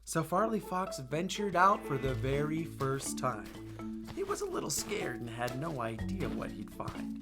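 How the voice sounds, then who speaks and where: Animated, unhurried woman reading a children's story. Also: sits low, over loud music. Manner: playful, animated, addresses listeners directly. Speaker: storytime host and book reader. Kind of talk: expressive children's picture-book read-aloud.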